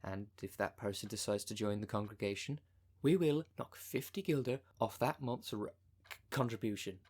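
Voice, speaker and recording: A man's voice: on the low side, normal speed, darkish tone, husky. A man voicing a scheming female cult recruiter in an audio roleplay, speaking with formal, businesslike politeness and dry condescension. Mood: polite, businesslike.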